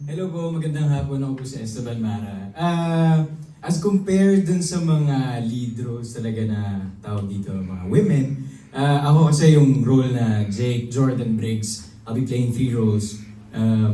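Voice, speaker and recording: A man's voice: mid-range voice, mellow. A man, a stage actor, introducing his roles politely and thoughtfully at a cast event. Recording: distant, echoey room with noise.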